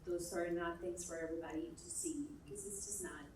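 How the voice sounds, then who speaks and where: A woman's voice: on the low side, huge pitch swings, a little fast, a little mellow. A woman from Colombia speaks on a business panel, thinking aloud and restarting her sentences as she goes. Her voice carries through a big, echoing hall, picked up from some distance, with a faint hum of room noise behind. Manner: thoughtful, hesitant restarts. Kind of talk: panel discussion answer. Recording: echoey hall, mic far off.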